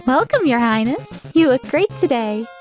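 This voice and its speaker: A woman's voice: sits high, sing-song, moderate pace, darkish tone, soft background music. A woman voicing a greedy, sly spider pastry seller, sugary and mocking, in a fan comic dub.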